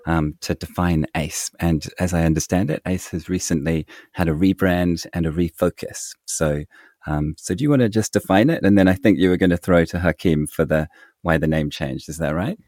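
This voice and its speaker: A man's voice: sits low, normal speed, a little mellow, dry recording, clean studio sound. A man hosting a show, talking casually with his guests in an interview. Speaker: podcast host.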